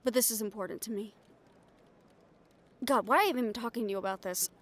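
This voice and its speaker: A woman's voice: on the high side, fast, a bit bright. A woman playing a brash, cynical, foul-mouthed reporter in an improvised audio roleplay, with a blunt, sardonic manner. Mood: irritated, defiant.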